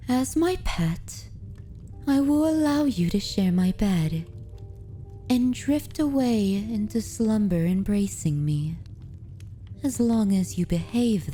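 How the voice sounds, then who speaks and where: Casting: woman playing a female vampire mistress for ASMR fantasy roleplay; dominant yet tender, very dark mellow tone, formal wording, extremely unhurried. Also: medium pitch. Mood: soothing, commanding. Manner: slow, soft, often whispered, formal old-fashioned phrasing.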